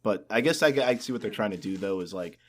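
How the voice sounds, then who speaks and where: Low-voiced man, hesitant casual talker. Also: animated, fast talker. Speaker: podcast co-host. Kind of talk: casual gaming chat.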